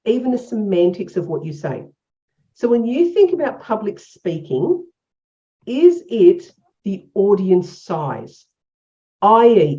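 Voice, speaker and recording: A woman with an Australian accent leading an online workshop as a coach, energetic and encouraging, asking many questions. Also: medium pitch, dull audio. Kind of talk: interactive online workshop teaching. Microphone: video call.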